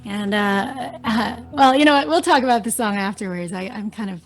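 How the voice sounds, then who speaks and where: A woman's voice: fairly high pitch, moderate pace, over music. A woman singer-songwriter, born in the Philippines and raised in New Jersey, chatting casually as a guest and laughing often. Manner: laughs often, relaxed and self-deprecating. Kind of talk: casual chat.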